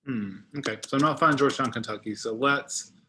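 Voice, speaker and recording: Bright-voiced man giving a software walkthrough. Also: medium pitch, fast, slight background noise. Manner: thinks aloud while demonstrating. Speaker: trainer giving a software tutorial. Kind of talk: informal screen-share walkthrough.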